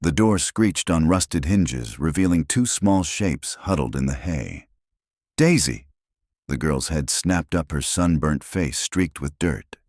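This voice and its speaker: A man narrating a scripted western drama in a slow, even storytelling manner. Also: rumbling low, dry recording, studio quality.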